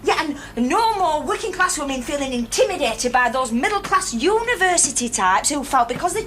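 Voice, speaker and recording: An actress playing a fiery, defiant miners' wife and activist with a Northern English accent and a rousing, plain-spoken way of talking in a naturalistic radio drama. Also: female voice, sits high, big pitch swings, brisk, fairly bright tone, a little hiss or hum. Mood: impassioned.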